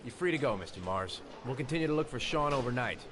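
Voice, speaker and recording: An actor playing a businesslike police investigator in a video game drama, probing in a clipped, matter-of-fact way. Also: male voice, medium pitch, expressive, fast, noisy background, lightly acted. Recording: game music behind dialogue.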